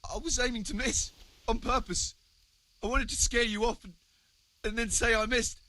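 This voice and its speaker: An actor playing a frightened, guilty labourer who stammers and pleads haltingly in a medieval video game. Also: male voice, very high-pitched, steady tone, pushed and bright, poor audio. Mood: terrified, pleading. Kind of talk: video game drama acting.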